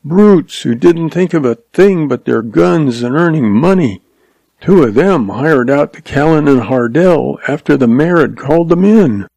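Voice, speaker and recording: Earnest, frightened young teacher voiced by a man, high and quivering, dark gravelly undertone. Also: lively intonation, unhurried, dry recording, heavily acted. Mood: pleading. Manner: pleading, quivering.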